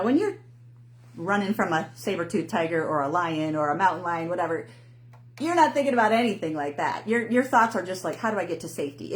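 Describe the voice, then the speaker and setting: A middle-aged woman talks casually to her live viewers, and her pitch swings widely from phrase to phrase. She is animated, laughs often, and acts out worried inner thoughts in different voices. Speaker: host, intuition coach.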